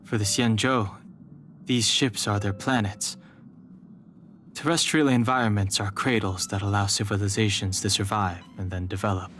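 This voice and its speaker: Guy voicing calm serious young man from a game, lowish voice, understated, faint music. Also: moderate pace, natural voice. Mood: reflective. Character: calm, reserved young man, a thoughtful guardian.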